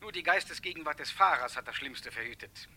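A man's voice: on the high side, animated, a little fast, pushed and bright, exaggerated voice. An actor playing a serious, authoritative police detective in a crime radio play, firm and businesslike as he gives orders. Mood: urgent, firm.

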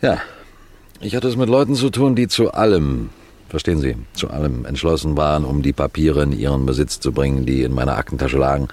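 An actor playing a seasoned, courteous detective superintendent who tells his case deliberately and instructively in the style of a 1960s German radio play. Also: very deep voice, lively intonation, darkish tone. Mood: storytelling, suspenseful. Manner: deliberate, didactic, addressing listeners formally.

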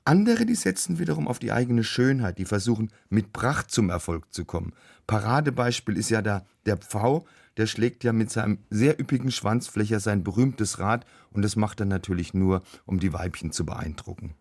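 A man with a cold and a rough, husky voice, explaining things as a biologist guest on a radio show. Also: on the low side, expressive, normal speed, a little mellow. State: has a cold. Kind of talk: explanatory chat.

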